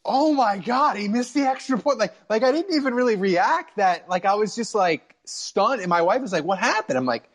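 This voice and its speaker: A man's voice: sits high, animated, normal speed, hardly any pauses. A man hosting casual sports talk, telling stories in a rambling, repetitive way and acting out reactions. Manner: rambling, repeats words, acts out remembered reactions, swears. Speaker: sports talk show host. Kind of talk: casual sports chat and storytelling.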